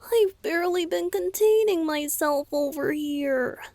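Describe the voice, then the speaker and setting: A woman voicing a tender, playful, lovesick young male bard spirit in a romantic ASMR roleplay, speaking in a breathy, whispery, intimate way. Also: very high voice, a little mellow. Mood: flustered, excited. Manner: tender, intimate, slow and hesitant.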